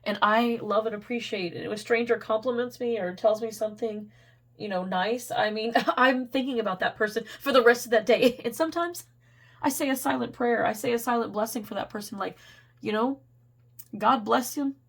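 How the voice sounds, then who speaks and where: Lady doing a tarot reading, higher voice, kinda hoarse and squeaky from a cold, chatty and giggly. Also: moderate pace. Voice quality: getting squeaky. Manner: chatty, rambling, laughs often. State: losing her voice, getting a cold. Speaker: tarot reader. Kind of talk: casual tarot reading to camera.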